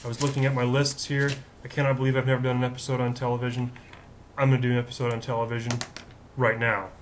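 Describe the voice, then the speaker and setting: A man with a deep voice hosting his own daily vlog, rambling in a chatty, self-mocking way with lots of fillers. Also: completely flat delivery, quick pace, a little hiss or hum. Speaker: vlog host. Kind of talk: casual rambling vlog monologue.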